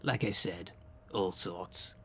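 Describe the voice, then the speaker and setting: A man's voice: deep voice, takes it slowly, gravelly, bright, forceful tone, a little hiss or hum, exaggerated voice. A man playing a theatrical demon caretaker in an audio roleplay, formal and grandiose, with a dry sardonic salesman's manner. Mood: dry, businesslike. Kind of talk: scripted audio roleplay, addressing the listener.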